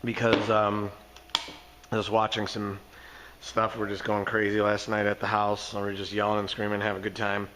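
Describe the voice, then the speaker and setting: A hoarse man giving a casual, folksy walkthrough of his garage door repair work. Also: lowish voice, completely flat delivery, moderate pace, background noise. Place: underground parking garage. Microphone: handheld phone camera.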